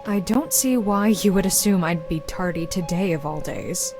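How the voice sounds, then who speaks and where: A woman's voice: fairly low pitch, moderate pace, natural voice. A woman voicing a dry, terse young necromancer graduate in a visual novel game. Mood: dry, composed. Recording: music bed under the voice.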